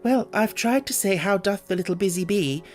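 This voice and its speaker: A polite, puzzled young girl, as a man reads her, lifts her voice high and speaks earnestly. She sounds courteous but a little plaintive, with a touch of irritation beneath. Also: moderate pace, faint music behind, lightly acted. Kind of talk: audiobook character voice.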